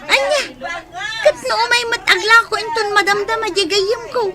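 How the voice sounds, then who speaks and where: An actress playing an indignant village woman with an Ilocano accent, shrill and exclamatory in a comedy radio drama. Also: normal speed. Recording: busy outdoor crowd sound behind.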